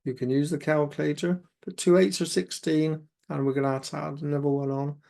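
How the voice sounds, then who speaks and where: A man with a croaky voice, working through exam maths questions aloud step by step as a tutor. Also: fairly high pitch, a little fast. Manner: steady step-by-step, talks himself through sums.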